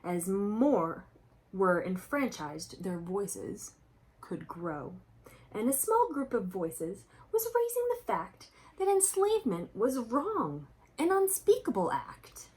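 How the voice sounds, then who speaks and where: Woman, children's read-aloud, animated intonation, mid-range pitch, expressive, explanatory asides to kids. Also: slow, rough recording. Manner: expressive, explanatory, addressing young listeners directly. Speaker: children's book reader and presenter.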